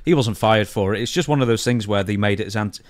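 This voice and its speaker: A British man with a fairly low voice talks as a podcast co-host. His delivery is casual, blunt and slangy as he moves through stories, and the sound is dry and close. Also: fast talker, dry recording. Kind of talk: casual podcast chat, reading out news stories.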